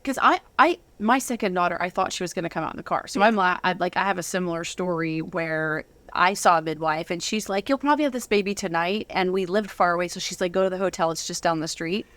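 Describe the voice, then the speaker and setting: A woman's voice: fairly low pitch, fast talker, few pauses, fairly bright tone, no room sound. A woman in her thirties hosting a casual interview, chatty and given to acting out remembered dialogue. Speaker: talk show host.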